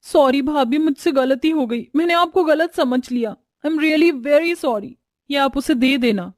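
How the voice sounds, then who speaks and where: Cartoon role: envious young sister-in-law acted by a woman, high-pitched, sulky and impulsive. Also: unhurried, dry recording, clean studio sound. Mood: apologetic.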